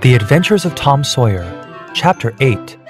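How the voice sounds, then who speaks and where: A man's voice: medium pitch, very animated, normal speed. A man reading scripted narration for a children's storybook, with music behind him. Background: background music.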